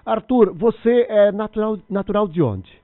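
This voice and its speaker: A man's voice: high voice, animated, normal speed, no echo. A man hosting a radio show, interviewing a guest with effusive praise. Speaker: radio host. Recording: tinny dry radio sound.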